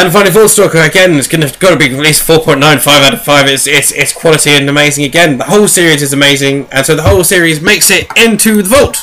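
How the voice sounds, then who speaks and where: A man hosting a book review show in casual, animated, rambling chat with a jokey edge. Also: a little high.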